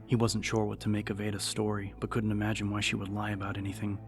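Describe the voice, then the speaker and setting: Deep, suspenseful male audiobook narrator. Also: monotone, fast talker, gravelly, music in the background. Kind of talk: dramatic scripted fiction narration.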